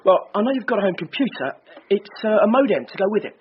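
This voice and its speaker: High-voiced, quick young detective sergeant. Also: male voice, very animated. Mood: enthusiastic. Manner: hesitant, earnest. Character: eager, earnest junior male detective sergeant, slightly awkward. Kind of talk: naturalistic radio drama acting. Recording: dull lo-fi radio broadcast, faint noise.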